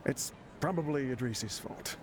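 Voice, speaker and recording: A man's voice: mid-range voice, normal speed, close to an everyday voice. A man playing a roguish, boastful dying desert leader and fond father, voicing a letter in a game with wry delivery. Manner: wry, boastful, chuckling between phrases. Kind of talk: dramatic game voice acting, letter read as voice-over. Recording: clearly noisy game capture.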